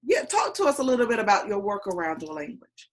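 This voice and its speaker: A woman's voice: mid-range voice, wildly swooping pitch, fast talker. Woman hosting an interview. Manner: encouraging, affirming. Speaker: podcast host, interviewer. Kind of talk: interview questions.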